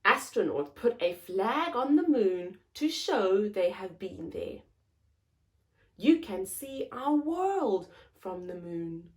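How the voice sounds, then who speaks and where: A woman's voice: medium pitch, sing-song, takes it slowly, darkish tone. A woman hosting a story time, reading aloud expressively to young children in simple phrases and putting questions to them.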